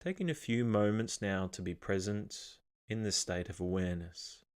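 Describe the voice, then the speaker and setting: A man narrating a guided meditation as the guide, speaking in a calm, measured, instructional way with pauses. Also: lowish voice.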